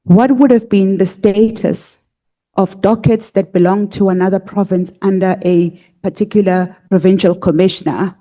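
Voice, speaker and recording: Measured woman questioning a witness. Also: medium pitch, fairly even intonation, mellow. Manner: measured, methodical, with frequent fillers. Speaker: evidence leader questioning a witness. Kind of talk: formal questioning at a hearing. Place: parliamentary committee room.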